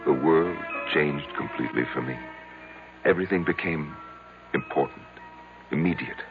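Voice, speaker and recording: An actor playing a meek, lovestruck, haunted patient in an old-time radio drama, halting, intense and self-deprecating, with a gravelly voice. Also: male voice, extremely low pitch. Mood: wistful, intense. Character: short, insignificant, lovestruck, haunted male psychiatric patient. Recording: muffled old radio recording. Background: music.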